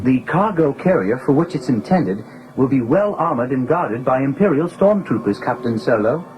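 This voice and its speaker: A man's voice: mid-range voice, lively intonation, normal speed, lo-fi sound, lightly acted. An actor voicing a fussy, prim male protocol droid who speaks formally. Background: music.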